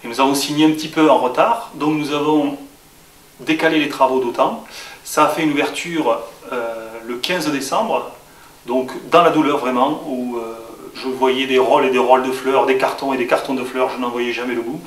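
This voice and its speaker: A man's voice: mid-range voice, steady tone, normal speed, recorded from a distance. Man interviewed in an echoey room. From southwest France. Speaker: franchise shop owner interviewee. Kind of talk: interview answers. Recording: echoey room, off-mic, faint hiss.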